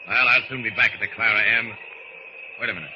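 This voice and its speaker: A man's voice: lowish voice, fast talker, close to an everyday voice. A man playing a confident, decisive superhero who talks through his actions aloud, in old radio serial style. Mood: brisk, musing. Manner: narrates own actions aloud. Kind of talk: 1940s radio serial acting. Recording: noisy, dull old radio recording.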